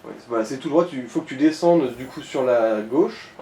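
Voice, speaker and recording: A man's voice: mid-range voice, normal speed, warm tone. A man chatting casually as he co-commentates a video game, giving informal, slangy step-by-step directions to the player. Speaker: let's play co-commentator guiding the player. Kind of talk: casual gaming chat. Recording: roomy echo with noticeable background noise.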